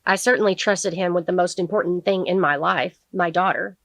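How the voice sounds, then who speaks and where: Female reader as grieving father, court witness, earnest, protective, reflective, lowish pitch, dry audiobook sound. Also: a little fast, a bit bright, no room sound.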